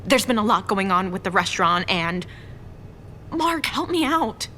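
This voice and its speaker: A woman's voice: mid-range voice, sing-song, unhurried, pushed and bright, a little hiss or hum. A woman playing an exhausted restaurant owner in a romantic audio drama, earnest, emotional and defensive. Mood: flustered, pleading.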